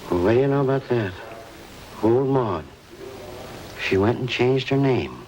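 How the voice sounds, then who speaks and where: A man's voice: medium pitch, measured pace, natural voice. An actor playing an eccentric older ex-circus man who rambles insistently, in a 1950s radio drama. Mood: rambling. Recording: old radio broadcast with hiss.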